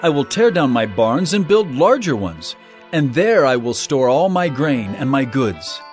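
An actor playing an earnest male teacher of parables in a dramatized audio Bible, speaking in an emphatic, measured, declamatory way. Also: medium pitch, sing-song, faint music behind. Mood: earnest teaching.

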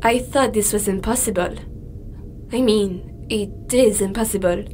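A woman's voice: mid-range voice, unhurried, warm tone, a little hiss or hum, lightly acted. An actress playing a terse, sceptical female biologist in a naturalistic space audio drama. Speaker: crew biologist.

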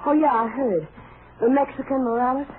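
A woman playing a haughty, cruel young horsewoman in a 1950s radio drama, speaking in a sharp, imperious, defensive way. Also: high-pitched, a little fast, narrow, tinny audio, a little hiss or hum, close to an everyday voice. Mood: defensive, evasive. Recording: thin vintage radio recording with music.

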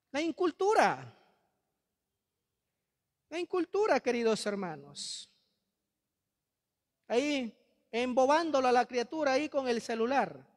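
Man, Peruvian accent, fervent repetitive sermon, handheld mic, long church echo. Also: extremely high pitch. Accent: Peruvian Spanish. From Peru. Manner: impassioned, rhetorical repetition, reproachful. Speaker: priest. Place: large bright church.

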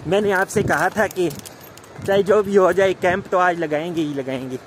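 A man's voice: sits high, moderate pace. Young man vlogging on a noisy street. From Pakistan. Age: young adult. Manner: chatty, addresses viewers directly. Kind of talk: casual travel vlog narration. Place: outdoors, in a car and on a street and riverbank. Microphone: phone or camera at arm's length. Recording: noisy outdoor phone recording.

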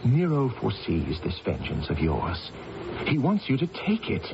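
Casting: cautious war counselor to an ancient queen, urgent, very animated delivery, muffled old radio recording. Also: on the low side, moderate pace, gravelly, background noise, exaggerated voice. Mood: pleading. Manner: emphatic, urgent, pleading. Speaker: radio actor. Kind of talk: 1980s radio drama acting.

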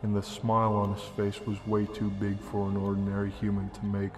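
Young guy narrating a creepy story totally deadpan, flat voice, big echoey reverb, music behind. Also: fairly low pitch, brisk. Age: young adult. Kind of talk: deadpan reading of a comedic horror story.

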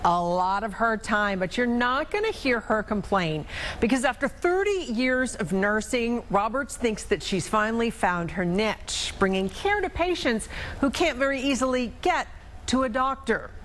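An American woman in her forties reads scripted TV news as a medical reporter, measured and articulate. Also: on the high side, lively intonation, a bit bright, slight background noise. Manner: measured, articulate broadcast delivery.

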